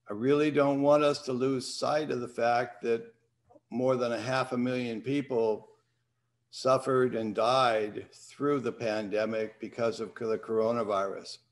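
An older man hosting from his home study over a video call, talking in a reflective way and reading a poem aloud. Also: mid-range voice. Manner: unhurried, reflective. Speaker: host. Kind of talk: casual talk and poetry reading. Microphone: video call webcam.

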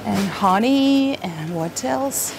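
A woman's voice: medium pitch, expressive, slow pace, gravelly, noisy background. A middle-aged woman whose first language is Russian, talking in a casual travel vlog to her phone with many fillers and asides to viewers. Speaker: vlogger. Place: busy indoor market hall. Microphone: phone at arm's length.

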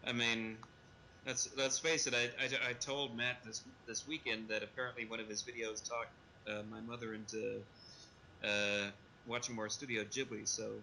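A man's voice: mid-range voice, little pitch movement, moderate pace, pushed and bright, a little hiss or hum, low-quality recording. A man chatting casually as a podcast panelist. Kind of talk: casual chat.

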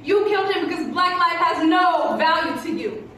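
A woman's voice: very high voice, normal speed, a bit bright. A woman acting a stern, accusing younger police investigator in a live stage play, confrontational and emphatic. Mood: angry accusation. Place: theatre stage with rows of seats. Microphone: distant camera. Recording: echoey theatre stage from far off, noisy.

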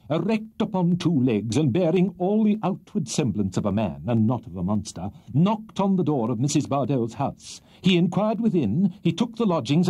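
A man's voice: fairly high pitch, mellow, breathy edge, voice pushed up, exaggerated voice. A man voicing a pompous, bombastic barrister haranguing a jury with theatrical indignation in an audiobook of a Victorian comic novel. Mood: dramatic, indignant. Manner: theatrical oratory, indignant and swelling.

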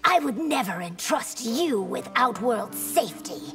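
The defiant princess-warrior of a fighting game, stern and unhurried as she faces down a foe at court. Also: a little high, very animated, fairly bright tone, faint music behind. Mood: scornful. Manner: formal, scornful, measured. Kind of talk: video game fight taunts.

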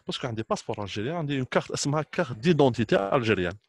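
Fast-talking Algerian man, informal. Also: mid-range voice, lively intonation, no room sound, clean studio sound. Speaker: trainer speaking to students. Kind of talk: informal talk to camera, switching between French and Arabic.

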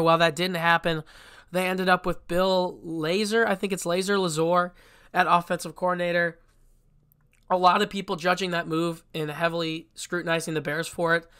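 Young male podcast host, congested from a cold, fairly high and bright, casual and blunt. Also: smooth. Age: young adult. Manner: chatty and blunt, with frequent restarts. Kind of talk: casual solo sports podcast.

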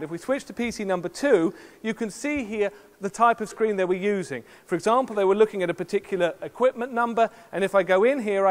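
A middle-aged man giving a lively, joking live demo as a keynote presenter on a large conference stage. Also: sits high. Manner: lively, jokey showman with sound effects. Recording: long hall reverb.

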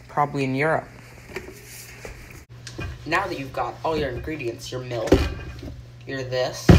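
Teen boy host, deadpan joke cooking show, phone-filmed in a kitchen, hissy audio. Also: mid-range voice. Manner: deadpan, rambling, with ums and mock instructions. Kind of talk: joking mock cooking show. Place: home kitchen, indoors. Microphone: phone camera held by a friend. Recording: noisy home phone video.

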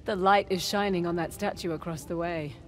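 A woman acting as a wry, quick-witted treasure hunter in a video game cutscene, speaking casually over music. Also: on the low side, steady tone, close to an everyday voice. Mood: wry, curious. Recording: loud game music behind the voice. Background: loud music.

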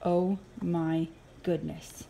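A woman reading a children's picture book aloud in an expressive, playful way, with exaggerated reactions. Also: on the low side, drawn-out words, gravelly, a little hiss or hum. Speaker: early childhood program coordinator reading aloud. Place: indoors, filmed over an open book.

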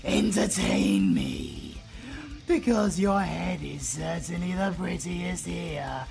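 Slow, creaky, sadistic monster villain. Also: male voice, a little high, very animated, music in the background, heavily acted. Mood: mocking menace. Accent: British. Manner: theatrical, taunting, drawn-out. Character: sadistic, playful male supervillain monster, menacing and hungry. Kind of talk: audio roleplay acting.

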